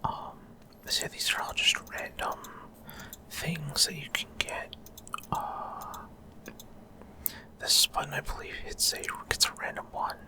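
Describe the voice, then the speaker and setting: A man whispering ASMR video game commentary in a breathy, unvoiced voice, explaining things in short, hesitant, rambling phrases. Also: a little slow. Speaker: gaming video creator.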